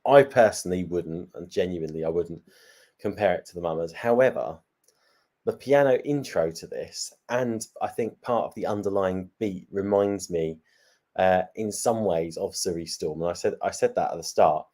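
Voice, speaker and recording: A man's voice: low-pitched, normal speed, warm tone. A man hosting a fan panel, chatty and repeating himself as he hands questions to the others. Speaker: panel host. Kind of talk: casual panel chat.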